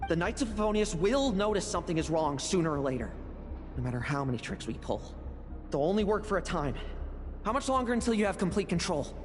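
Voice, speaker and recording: A cynical young game character with a fairly high voice that swings widely. He is dry, blunt and world-weary, lecturing like a pragmatic gambler. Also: big pitch swings, a little fast, faint music behind. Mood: cool, impatient. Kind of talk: video game voice acting.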